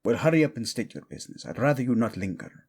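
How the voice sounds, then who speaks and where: A man's voice: mid-range voice, lively intonation, quick pace, a little mellow, husky. A man playing a proud, brooding young prince in an audio drama, curt and sardonic, with a Spanish accent. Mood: irritated, curt. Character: brooding, proud young prince, defensive about his dead brother.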